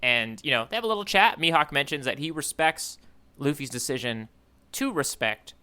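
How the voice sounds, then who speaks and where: A man co-hosting a podcast, casually recapping a story in a halting way with frequent "you know" asides. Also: on the high side, expressive, bright, forceful tone, smooth. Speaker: podcast co-host recapping a manga chapter.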